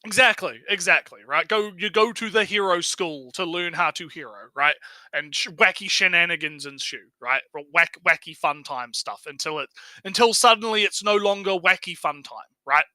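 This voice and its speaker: A man's voice: sits high, normal speed, sharp tone, no echo. A man giving casual, unscripted stream commentary, rambling and swearing, often tagging his sentences with "right?". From outside the United States.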